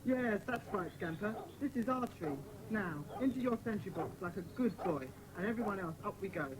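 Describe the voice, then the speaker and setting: A woman playing a bossy, stern young schoolboy who leads a children's club in a dramatised audiobook, commanding and brisk. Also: mid-range voice, lively intonation, crowd noise, close to an everyday voice, rough recording.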